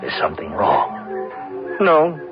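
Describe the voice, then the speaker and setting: An actor playing a despairing, wistful ruined businessman, musing haltingly in literary phrases in an old-time radio drama. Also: low-pitched, huge pitch swings, full character voice. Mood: bitter, brooding. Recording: muffled vintage radio recording with music.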